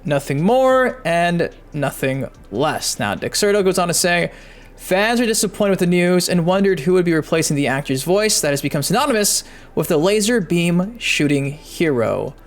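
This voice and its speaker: A man's voice: high-pitched, big pitch swings, normal speed. A man hosting gaming news commentary, chatty and emphatic, talking directly to his viewers. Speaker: YouTube gaming news host. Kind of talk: energetic video commentary, reading articles aloud.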